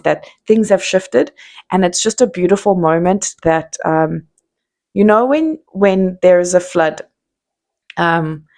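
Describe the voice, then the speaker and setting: A woman's voice: fairly low pitch, vocal fry, darkish tone. A woman in her thirties with a South African accent, musing candidly to camera in an unscripted vlog, with lots of ums and restarts. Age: 30s. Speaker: vlogger. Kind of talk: unscripted reflective monologue to camera.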